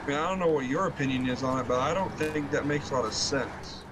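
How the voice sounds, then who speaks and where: Casual guy gaming w friends, mid pitch, self deprecating, says stuff twice, noisy engine in back. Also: quick pace. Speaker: co-player on a livestream. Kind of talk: casual chat. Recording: clear background engine noise.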